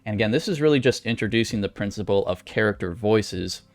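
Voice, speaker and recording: A man's voice: on the low side, animated, quick pace. A man giving casual writing advice as an author, in a folksy, conversational way with emphasis on key points. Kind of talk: casual instructional vlog talk.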